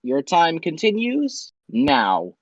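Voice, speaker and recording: A man hosting a quiz game show, reading questions and ruling on answers in a brisk, formal way. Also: a little high, wildly swooping pitch, creaky, highs cut off. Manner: brisk, formal quizmaster delivery.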